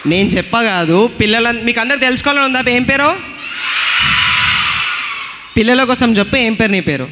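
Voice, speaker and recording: Guy hosting kids puppet show, very high bright voice, asking questions, echoey noisy hall. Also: animated, moderate pace, pauses often. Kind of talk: playful questioning for a children's audience. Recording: echoey hall with background noise.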